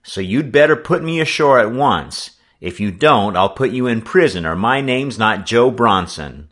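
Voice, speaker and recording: A man's voice: medium pitch, expressive, moderate pace, a bit bright, pitched above the performer's own voice. A man voicing a righteous, stubborn American boy in an audiobook, speaking in an earnest, indignant, emphatic way. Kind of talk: audiobook character acting.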